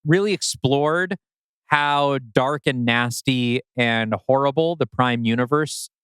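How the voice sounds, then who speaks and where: Clear-voiced man in casual conversation. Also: mid-range voice, slow pace, a bit bright, no room sound. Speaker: podcast co-host. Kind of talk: casual chat about scriptwriting.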